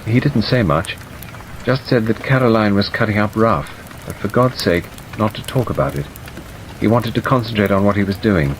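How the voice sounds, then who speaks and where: A man's voice: lowish voice, normal speed, poor audio. A man narrating an audiobook in a scripted, dramatic storytelling style, as the narrator of a tale. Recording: steady rain noise over the voice. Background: rain ambience.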